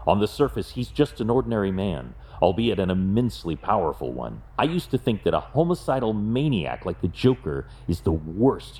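A very deep-voiced, grim vigilante detective, played by a man in an audio drama. He speaks gravely and deliberately, with resolute weight and plenty of movement in his low pitch. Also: mellow. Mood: brooding.

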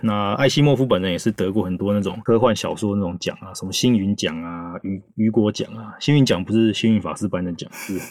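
A man's voice: fairly low pitch, quick pace, hardly any pauses, darkish tone, no echo, studio quality. A man with a Taiwanese accent hosting a podcast, explaining things casually and chattily with little asides. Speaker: podcast host.

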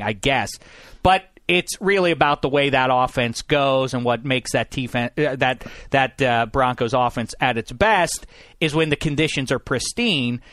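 A man's voice: on the high side, a bit bright, no echo, studio quality. A man hosting a podcast, speaking casually about sports and movies in an opinionated, teasing way full of asides. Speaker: podcast host. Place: podcast studio.

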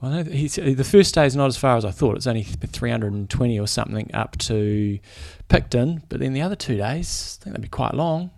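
A man's voice: mid-range voice, expressive, brisk, vocal fry, hardly any pauses, darkish tone, no room sound, studio quality. A man with a New Zealand accent, a triathlon coach co-hosting a podcast, easygoing and rambling in casual chat. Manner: easygoing, rambling, restarts his sentences.

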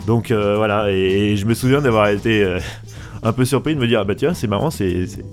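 A man's voice: lowish voice, fairly quick, faint music behind. A man in his forties, a car journalist hosting a podcast, speaking eagerly and often stumbling over his words. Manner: enthusiastic, stammers and restarts a lot. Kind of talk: podcast interview.

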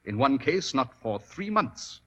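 An actor playing a shrewd, commanding detective in 1940s radio drama style, with precise, formal, theatrical delivery. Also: male voice, mid-range voice, very animated, a little slow, sharp tone, full character voice. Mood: coolly accusing. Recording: vintage 1940s radio recording.

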